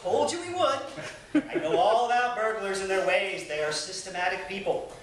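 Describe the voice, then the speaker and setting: A man voicing a smug, dry, unhurried nineteenth-century husband from a humorous memoir, in a wry, mock-patient theatrical manner. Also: high voice, natural voice. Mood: smugly knowing. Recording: echoey room, distant camcorder mic, background noise.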